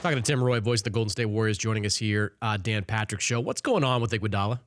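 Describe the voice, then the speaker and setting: A man's voice: on the low side, quick pace, noisy background. A man hosting a sports talk show, interviewing in a casual, conversational style.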